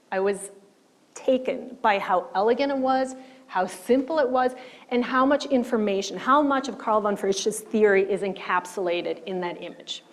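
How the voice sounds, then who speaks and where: A middle-aged woman who grew up in Switzerland with German as her first language, giving a measured public lecture on the history of science with slides and some fillers. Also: medium pitch. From German-speaking Switzerland. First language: Swiss German. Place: lecture hall.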